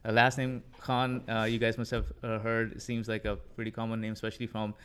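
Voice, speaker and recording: A man in his thirties from Pakistan with a Pakistani accent, speaking haltingly with frequent fillers as a podcast interview guest. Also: lowish voice, monotone, fairly bright tone, smooth. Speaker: interview guest, political commentator.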